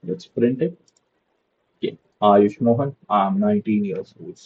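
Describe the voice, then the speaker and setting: Young man, casual coding tutor, fills with "okay", dull lo-fi sound, husky voice. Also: on the low side, unhurried. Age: young adult. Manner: loose, repeats "okay" and "so" a lot. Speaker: programming tutor. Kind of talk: casual screen-share coding lesson.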